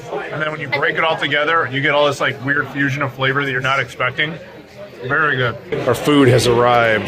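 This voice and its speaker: A man from North America hosts a casual travel vlog and talks straight to a handheld camera. He is chatty and jokey and gets excitable. The voice sits in a middle range, and a clear crowd buzz runs behind it in busy public places. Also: medium pitch. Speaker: vlog host.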